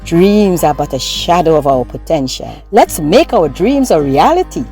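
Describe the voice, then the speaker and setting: A woman's voice: deep voice, very animated, normal speed, warm tone. A woman reading a scripted promotional voice-over for an advert. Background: music.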